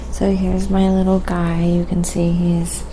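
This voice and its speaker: A soft-spoken woman chatting gently and unhurriedly on a quiet ASMR painting livestream, with a creaky, sometimes breathy voice. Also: lowish voice, monotone, measured pace, low-quality recording. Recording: clearly audible background noise.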